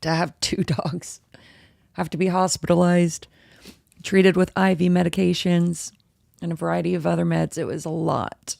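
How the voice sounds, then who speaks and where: A woman hosting her own casual podcast, a little hoarse, chatty and rambling as she interrupts herself. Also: flat delivery, moderate pace, a little mellow, dry recording, clean studio sound. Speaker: podcast host. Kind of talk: casual solo podcast chat, live-streamed. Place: home room with dogs. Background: dogs and a squeaky toy at times.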